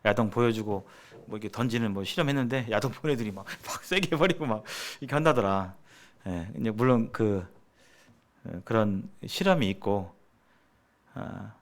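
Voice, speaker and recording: Male preacher, medium pitch with lively, wide swings, emphatic seminar teaching that repeats key phrases. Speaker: preacher leading a church seminar. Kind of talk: sermon-like seminar lecture.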